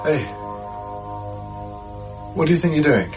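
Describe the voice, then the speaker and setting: An actor playing a troubled, haunted young lodger in a radio drama, speaking in a hesitant, tender way over music in a slightly muffled broadcast. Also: male voice, on the high side, lively intonation. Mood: gently pleading. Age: young adult. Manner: hesitant, brooding, halting. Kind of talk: radio drama acting, intimate monologue.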